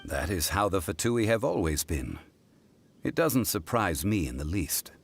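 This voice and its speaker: An actor playing a calm, learned, dignified gentleman in a video game, speaking in a measured, formal manner. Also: low voice, gravelly, husky, soft background music, heavily acted. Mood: cautioning.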